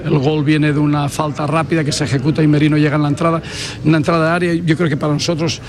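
A man who coaches a national football team, giving a post-match interview in a halting, measured way and often searching for words. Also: fairly high pitch. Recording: crowd noise behind.

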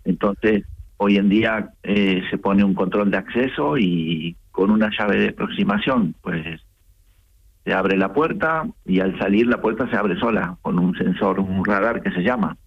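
A man from Argentina with an Argentine accent, phoning in to a radio show and calmly explaining his small business. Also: low voice, a little slow, breathy edge, highs cut off. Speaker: caller, small business owner. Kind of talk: casual phone chat on radio.

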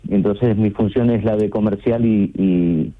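Phone-in guest: male, middle-aged, thin telephone sound, conversational, halting and repetitive delivery. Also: fairly low pitch, steady tone. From Argentina. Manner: halting, repeats words and phrases. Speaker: radio call-in guest, sales representative. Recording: tinny phone line into radio show.

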